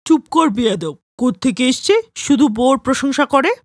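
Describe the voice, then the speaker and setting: A woman's voice: high-pitched, expressive, moderate pace, no echo, clean studio sound. A woman voicing a grumbling, scornful older mother-in-law in a cartoon story. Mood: scolding. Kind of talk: cartoon voice acting.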